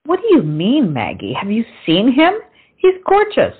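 A woman acting a gossipy, gushing young friend in a stage comedy. Her fairly high, husky voice swoops dramatically, and the sound is thin and phone-like. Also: huge pitch swings, moderate pace, heavily acted. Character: flighty, gossipy, man-admiring young lady friend. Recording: tinny, narrow-band sound.

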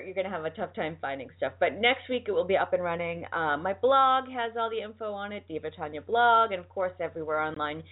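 An American woman hosting a radio show, chatting casually and enthusiastically through announcements and sponsor plugs in a rambling, informal way. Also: on the low side. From United States, lived in Boston. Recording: thin, phone-like band-limited sound.

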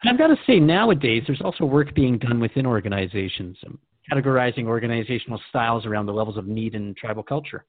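A man's voice: mid-range voice, lively intonation, fast talker, no room sound. A man hosting a radio drama dialogue, informal and keen, recapping points and asking questions in a conversational way. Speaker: radio host. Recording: dry, dull phone-like narrow sound.

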